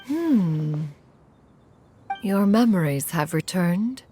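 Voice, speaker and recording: A woman playing a dignified, aloof ancient immortal in a game, with formal, archaic and measured speech. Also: fairly low pitch, lively intonation, dark tone, faint music behind. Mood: composed, sympathetic. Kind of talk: dramatic game voice acting.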